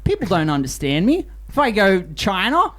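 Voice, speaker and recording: A man co-hosting a comedy podcast, making absurd claims in a deadpan way. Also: high-pitched, normal speed. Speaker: podcast co-host. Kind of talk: casual comedy podcast banter. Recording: faint background noise.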